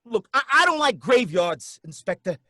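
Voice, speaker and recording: An actor playing a nervous, stammering cab driver who turns out to be a ghost, in an old-time radio drama. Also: male voice, high voice, very animated, moderate pace, sharp tone, no room sound, clean studio sound, heavily acted. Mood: panicky. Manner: folksy, anxious, stammering.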